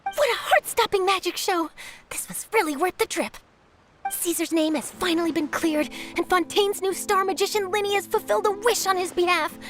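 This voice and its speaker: A woman's voice: very high voice, animated, moderate pace, faint music behind. A woman playing an eager, perky young newspaper reporter in a video game, theatrical and inquisitive. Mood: gushing.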